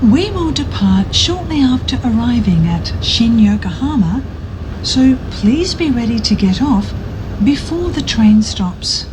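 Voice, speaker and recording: Woman, formal scripted announcements, slow and deliberate, faint moving-train noise behind her. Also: a little high, sing-song. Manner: measured, formal, courteous. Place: inside a moving bullet train. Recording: noisy train cabin, announcement over speakers. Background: train running noise.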